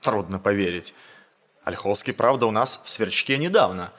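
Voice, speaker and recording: An actor playing a calm, reasonable young student chairman with a husky voice in a 1960s radio comedy. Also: lowish voice, normal speed. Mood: doubtful, reasonable.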